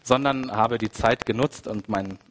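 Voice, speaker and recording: A man with a cold preaching a sermon, conversational and full of asides, moving between quiet, reflective passages and emphatic, rising ones. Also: mid-range voice, measured pace. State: has a cold. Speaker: pastor. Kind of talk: sermon with personal anecdote.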